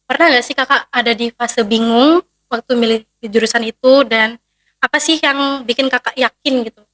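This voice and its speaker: A woman's voice: a little high, fairly even intonation, normal speed, a bit bright. A teenage girl hosting a school podcast, asking questions and echoing the guest's answers back. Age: teens. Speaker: student podcast host. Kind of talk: casual interview.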